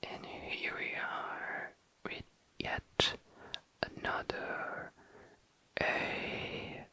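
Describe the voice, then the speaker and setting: An ASMR host speaking in a very low, breathy whisper close to the microphone, deliberately lingering on and repeating words. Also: bright, forceful tone. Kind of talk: ASMR, slow soft whispering.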